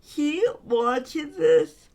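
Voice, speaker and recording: A woman co-hosting a podcast in casual chat, earnest and affectionate, her voice a bit raspy from talking. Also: sits high, darkish tone. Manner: slow, earnest and affectionate, with frequent fillers. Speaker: podcast co-host.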